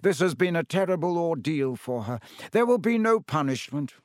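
A man voicing a kindly, wise old male wizard headmaster, gentle and measured. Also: on the high side, big pitch swings, dry recording, clean studio sound, pitched above the performer's own voice.

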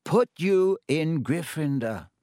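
A man voicing a calm, kindly old male headmaster wizard, speaking slowly and gently in an audiobook. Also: on the high side, dry recording, clean studio sound, voice pushed up, exaggerated voice. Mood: calm, wise. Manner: slow, gentle, measured speech. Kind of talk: audiobook character voice.

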